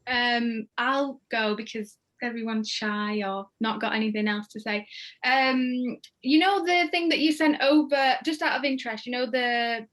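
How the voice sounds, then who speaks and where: British woman hosting, fairly high voice. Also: unhurried, a bit bright. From United Kingdom. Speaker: host of an online table read. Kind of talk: casual, unscripted hosting. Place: online group video call.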